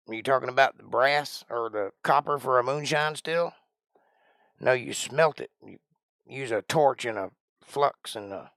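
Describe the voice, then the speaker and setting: A man's voice: mid-range voice, a bit bright, dry recording, clean studio sound. A man in his forties hosting a live stream, chatting casually in a rambling, folksy way as he thinks aloud and answers his chat. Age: 40s.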